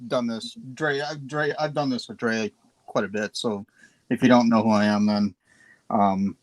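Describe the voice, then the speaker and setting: A man's voice: on the low side, fairly even intonation, normal speed. A man chatting as a guest on a live stream, rambling and joking about himself. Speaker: guest artist. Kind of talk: casual live-stream chat.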